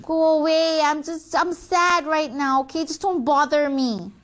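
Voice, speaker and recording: A woman voicing a dramatic, whiny big sister who complains in drawn-out exasperation, in a children's story. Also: sits high, fairly even intonation. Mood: sad, sulky. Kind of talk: acted children's story dialogue.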